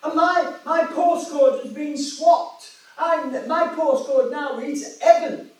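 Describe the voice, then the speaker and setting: A man's voice: very high-pitched, slow. A man from West Yorkshire with a Yorkshire accent, an evangelist preaching a sermon in a chatty storytelling way, often restarting his words. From West Yorkshire, England. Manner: conversational, storytelling, many restarts and repetitions. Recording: echoey hall, voice from far off.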